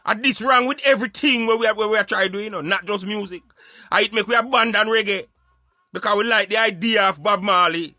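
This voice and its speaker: A man's voice: sits high, steady tone, fast, pushed and bright, dry recording, soft background music. A Jamaican man giving emphatic, opinionated social commentary in Patois, like a street philosopher preaching on a radio show.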